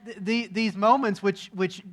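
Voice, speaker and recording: A male museum interviewer with a fairly high voice guides the conversation. He speaks in a measured way and stumbles over a few restarts. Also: steady tone, fairly bright tone. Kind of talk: interview questions.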